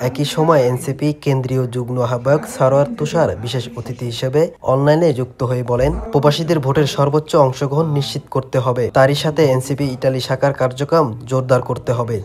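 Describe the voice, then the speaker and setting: A man's voice: medium pitch, steady tone, quick pace, few pauses, a little mellow. A man with a Bangladeshi accent narrating a scripted television news report.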